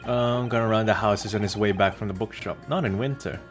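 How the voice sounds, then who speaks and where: A man's voice: fairly low pitch, brisk, soft background music. A man giving casual let's play commentary as a gaming streamer, with a sardonic, sweary way of talking.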